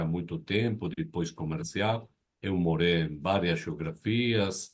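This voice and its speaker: A man in his fifties who speaks with an Argentine Spanish accent, his voice sitting very low. He introduces himself on a live video panel, upbeat and polite, with small slips in his second language. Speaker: board advisor and business mentor. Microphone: video call.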